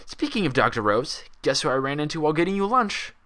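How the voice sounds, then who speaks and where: A man's voice: fairly high pitch, very animated, quick pace, sharp tone, voice pushed up. A man voicing a cheerful pony doctor who talks in a chatty, falsely soothing, condescending way. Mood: chatty, placating. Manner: chatty, placating, chuckling.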